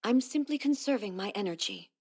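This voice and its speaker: A woman's voice: mid-range voice, lively intonation, a little fast. A woman playing a composed, commanding shogun warrior in a video game, speaking with formal dignity. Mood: composed, serious. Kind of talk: video game character acting.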